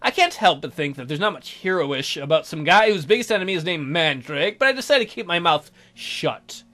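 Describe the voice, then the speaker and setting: A man's voice: on the high side, lively intonation, a little fast, sharp tone. A man reading a visual novel aloud as a let's player, adding sardonic asides.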